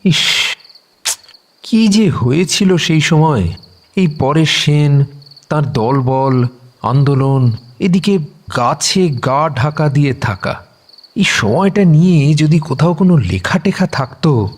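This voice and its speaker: Higher-pitched, curious young man. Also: animated, takes it slowly, pauses often. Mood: wistful. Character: curious, earnest young male visitor from the city. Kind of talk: Bengali audio drama acting. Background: music bed with cricket sounds.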